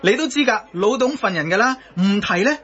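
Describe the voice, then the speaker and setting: A man's voice: high-pitched, lively intonation, fairly quick, bright, forceful tone. An actor playing a cheeky, argumentative young man in a satirical radio drama, speaking Hong Kong Cantonese. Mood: teasing, explaining. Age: young adult. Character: cheeky young male cafe regular, quick to argue back. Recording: dull, band-limited broadcast audio.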